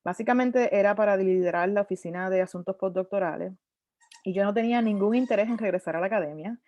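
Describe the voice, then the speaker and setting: Woman in her 30s, animated panelist, mixes in English words, dull and dry call audio. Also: mid-range voice, quick pace, no echo. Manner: lively, mixes in English terms, frequent restarts. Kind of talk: conversational storytelling on a virtual panel. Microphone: video call.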